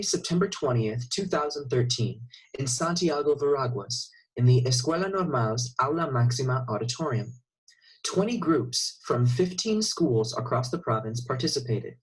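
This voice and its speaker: A man reading scripted narration as the narrator of an informational video, with a slightly husky voice. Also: mid-range voice, rough recording.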